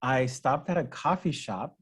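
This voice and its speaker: A middle-aged man from California, a podcast guest telling his own story in a friendly, relaxed way. His voice sits in an ordinary middle pitch, and he talks conversationally. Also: measured pace, slightly rough. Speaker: podcast guest, healing teacher. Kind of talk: podcast interview.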